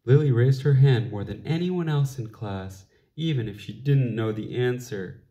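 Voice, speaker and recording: A man reading a children's picture book aloud in an expressive storytelling style, with deliberate phrasing. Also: medium pitch. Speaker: storybook reader.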